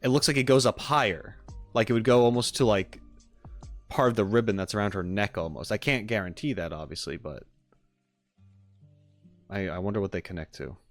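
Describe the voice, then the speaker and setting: A man's voice: on the low side, a little fast, long pauses, soft background music. A man chatting casually on a live stream, giving a rambling, informal critique as he reacts to what he watches. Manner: rambling, informal, frequent hedging and "like". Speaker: streamer reacting to game character trailers.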